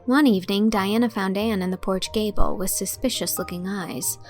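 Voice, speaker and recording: A female narrator tells a classic story aloud in a steady, measured voice. Her pitch stays in the middle of her range. Soft music runs faintly underneath. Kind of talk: audiobook narration of a classic novel.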